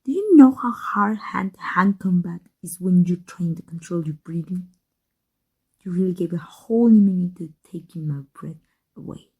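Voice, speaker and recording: A woman playing an embittered villain secretly in love with a rival, in an intense ASMR roleplay monologue, husky and breathy, often dropping to a whisper. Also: on the low side, expressive, moderate pace, darkish tone, rough recording. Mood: anguished confession.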